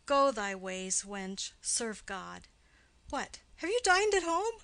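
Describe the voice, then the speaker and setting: A woman's voice: medium pitch, sing-song, slow pace, fairly bright tone, no room sound, full character voice. A woman voicing a weary, complaining, teasing old nursemaid in a classical stage play reading, with an exclaiming, grumbling manner. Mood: teasing, rambling.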